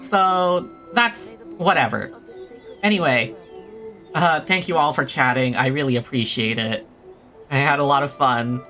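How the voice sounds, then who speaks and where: A game streamer chatting casually to viewers, rambling and low-key with a self-deprecating touch. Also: unhurried, pushed and bright, soft background music. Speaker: livestreamer playing a video game. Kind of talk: casual livestream chat and game commentary.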